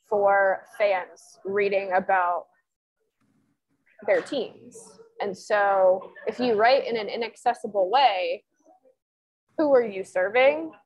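A woman's voice: medium pitch, highs cut off. A woman in her twenties, a sports columnist, answering interview questions in a chatty, rambling way full of fillers. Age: 20s.

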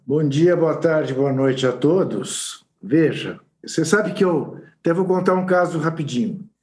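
An older Brazilian man, a sports journalist on a podcast panel, telling stories in an unhurried, reminiscing way. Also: on the high side, darkish tone. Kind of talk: casual panel chat.

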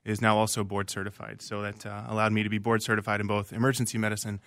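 A man from Virginia, an emergency physician, answering interview questions in a clear, explanatory way. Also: lowish voice, monotone, fast, fairly bright tone, no room sound, studio quality. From Virginia, USA.

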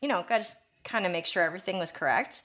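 A woman's voice: lowish voice, fast talker, a bit bright. A woman in her thirties co-hosting a podcast, chatting in an upbeat, casual way with many fillers. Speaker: podcast co-host. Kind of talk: casual chat.

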